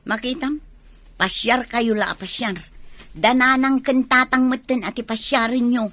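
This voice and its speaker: An actress playing a self-centred, complaining sister in a radio drama, dismissive and full of excuses. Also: female voice, fairly high pitch, steady tone, measured pace, a bit bright. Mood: peevish. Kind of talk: Filipino radio drama acting.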